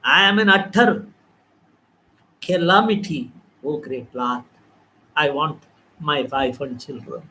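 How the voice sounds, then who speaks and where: A man's voice: on the high side, slow pace, pauses often, sharp tone, a little hiss or hum, pitched below the performer's own voice, rough recording. A man voicing Narada, a man lamenting the loss of his wife and children and pleading for help. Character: grieving man who lost his family, despairing.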